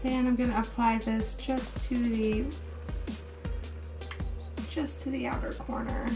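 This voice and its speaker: A woman with a slightly raised pitch and a little hoarseness talks casually through a makeup look, step by step and full of fillers. She sits back from the microphone, and clear music plays behind her. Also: a little slow. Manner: relaxed, step-by-step, with many fillers. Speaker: beauty vlogger. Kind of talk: casual makeup tutorial and review. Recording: distant mic with music playing behind.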